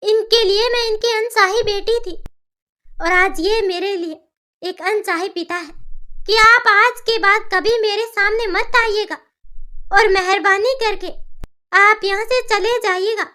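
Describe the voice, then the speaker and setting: Caring, earnest young daughter for cartoon story, woman acting, very high and bright. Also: steady tone, measured pace, full character voice. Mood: hurt, angry. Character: caring, headstrong young daughter, emotional and principled.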